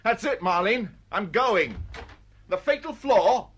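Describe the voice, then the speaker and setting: Radio drama: a prickly writer, slightly rough-voiced, dry and indignant, lively intonation. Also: male voice, sits high, slow pace, muffled recording, exaggerated voice. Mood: indignant, wounded. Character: touchy, proud male novelist, paranoid and put-upon.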